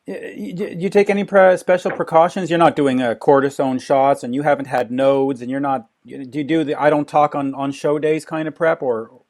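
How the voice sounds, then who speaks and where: A man interviewing a musician, asking long, halting questions full of restarts. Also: fairly high pitch, fairly even intonation, darkish tone.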